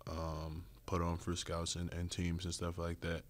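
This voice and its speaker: A young man, a basketball player, answering postgame questions at a press conference in a low-key, hesitant way with many fillers, speaking into a desk mic. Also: completely flat delivery, unhurried. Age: young adult. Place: press room with logo backdrop. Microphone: desk mic on stand.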